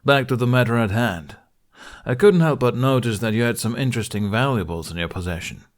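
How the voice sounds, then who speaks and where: A man voicing a smug, long-suffering Viking mercenary leader in an audiobook, measured and condescending. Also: mid-range voice, lively intonation, natural voice. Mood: weary, smug.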